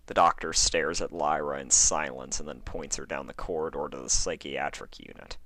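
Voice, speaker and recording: A man narrating a scripted horror story in a theatrical, expressive way. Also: extremely low pitch, moderate pace, no echo. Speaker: fan fiction narrator.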